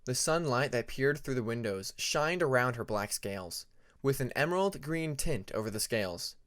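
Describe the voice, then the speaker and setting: A man's voice: mid-range voice, lively intonation, normal speed, fairly bright tone, dry recording, studio quality. A man reading a scripted story as an audiobook narrator.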